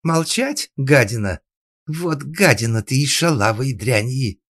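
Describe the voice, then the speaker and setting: A man's voice: low-pitched, expressive, normal speed, no room sound, clean studio sound. A man voicing a jealous middle-aged wife in a comic audiobook, shrieking, hissing and scolding. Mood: venomous. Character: shrill jealous middle-aged wife, furious mistress of the house.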